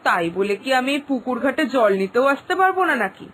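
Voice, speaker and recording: Woman doing a doting village mom for a cartoon, highish voice, homely n chatty, bit plaintive, faint noise behind. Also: very animated, brisk, a bit bright. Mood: defensive, wistful. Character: doting village mother, anxious yet stubborn, awaiting daughter. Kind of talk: dramatised cartoon dialogue.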